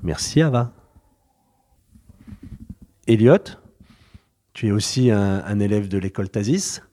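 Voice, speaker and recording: A man's voice: fairly low pitch, slow, dark tone. A man interviewing students at a school, talking loosely and hesitantly with many fillers and restarts. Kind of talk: informal interview.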